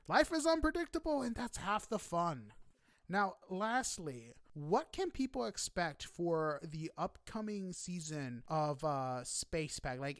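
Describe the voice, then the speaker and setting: A man hosts a casual interview podcast in a fairly high, very clear voice. He is upbeat and chatty, encouraging as he talks. The sound is dry and close, with little room to it. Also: no room sound.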